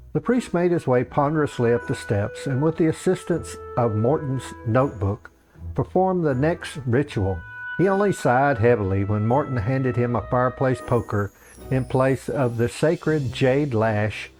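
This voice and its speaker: A man's voice: medium pitch, unhurried, a little mellow, faint music behind, rough recording. A man reading scripted comic fantasy narration as a storyteller, in the manner of an author reading his own work.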